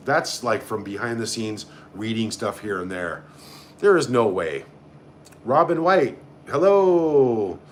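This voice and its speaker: A man's voice: medium pitch, slight background noise. A man hosting a casual live stream, reading out comments and reacting in a blunt, sarcastic, sweary way. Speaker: livestream host.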